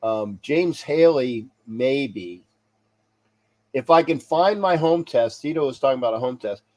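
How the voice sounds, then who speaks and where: A man's voice: medium pitch, expressive, unhurried, darkish tone. A man hosting a sports talk livestream, stuffy and congested, rambling casually and repeating himself as he signs off to viewers. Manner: chuckles to himself. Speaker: sports talk show host.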